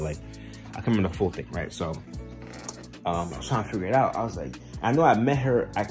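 A low-voiced guy in his twenties walking around his house, filming a slangy, stop-and-start vlog on his phone with music playing. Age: 20s. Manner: informal, slangy, halting, often says "man". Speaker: vlogger. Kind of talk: casual rambling chat to camera. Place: indoors at home, moving between rooms. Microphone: handheld phone at arm's length.

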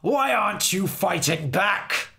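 A man voicing a huge, fierce male fairy king who speaks slowly with a sneering, menacing manner. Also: mid-range voice, animated, voice pushed down, exaggerated voice. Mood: furious. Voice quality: gravelly, sneering. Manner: slow, menacing, growls and shouts.

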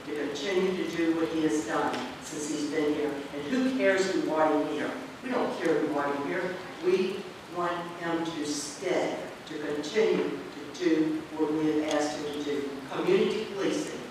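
An older council member speaks with mounting fervor, repeating his pleas. His somewhat high voice sits far from the mic in a very echoey chamber. A steady hiss of room noise runs underneath. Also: normal speed. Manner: fervent, repetitive, rising to loud pleas. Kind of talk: impassioned council speech.

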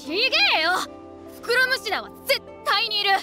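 A woman voicing a brash, stubborn young village boy in a video game, with rough, defiant speech. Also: very high voice, very animated, a little fast. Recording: background music under the voice.

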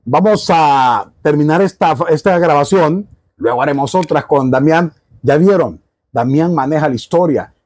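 A man's voice: a little high, a little mellow, no echo. Emphatic Honduran man hosting interview. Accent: Honduran Spanish. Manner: emphatic, rhetorical questions. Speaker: podcast host. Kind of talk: casual interview. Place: small cluttered study with bookshelves.

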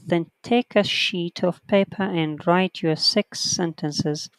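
Teacher reading exercises out loud real slow, counting off corrections, smooth voice. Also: mid-range voice, no echo. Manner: reads sentences out slowly and counts corrections aloud. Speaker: English writing teacher. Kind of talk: slow online lesson, reading a textbook aloud.

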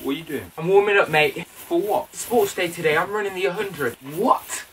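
A young man acting out school comedy sketches, switching characters with quick slangy banter. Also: high voice, over a noisy crowd. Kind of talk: sketch comedy playing all the parts. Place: school classrooms and corridors, indoors.

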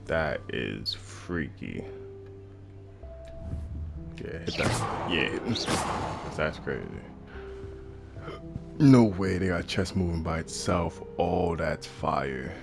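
A man with an American accent commenting casually on his gameplay. His voice is very low and creaky, swinging widely in pitch. He is slangy and profane, reacting as he goes. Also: lively intonation, slow, pauses often, music in the background. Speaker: gamer.

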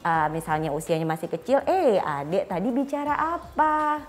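A woman's voice: lowish voice, very animated, normal speed. Woman, Indonesian accent, guest expert, informal explanatory talk, acted-out example dialogues, lapel mic, noticeable background noise. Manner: acts out short parent and child dialogues. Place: small furnished studio set, indoors. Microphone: clip-on lapel mic.

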